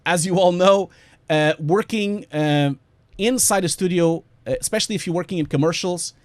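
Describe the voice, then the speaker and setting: A man with a Portuguese accent presents a webinar from his home studio, his voice a touch higher than most. He talks in a chatty, stop-start way, full of "uh" and restarts. The sound is dry, with no room echo. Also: normal speed, darkish tone. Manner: chatty, self-interrupting, frequent fillers.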